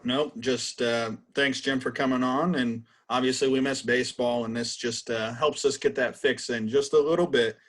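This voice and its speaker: An American man speaks as a podcast co-host. His pitch is average for a man, and his delivery is casual and conversational. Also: steady tone, normal speed, few pauses, fairly bright tone. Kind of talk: casual interview chat.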